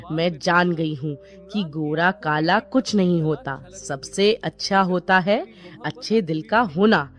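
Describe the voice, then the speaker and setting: A woman playing a middle-aged, sharp-tongued Indian mother-in-law with theatrical delivery in a cartoon story. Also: lowish voice. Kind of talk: Hindi animated moral story dialogue. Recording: music bed behind the voice.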